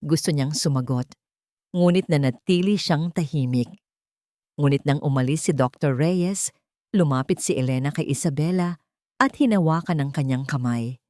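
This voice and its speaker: A woman's voice: sits low, moderate pace, mellow, no room sound, clean studio sound. A woman narrating a scripted dramatic story as an audiobook reader.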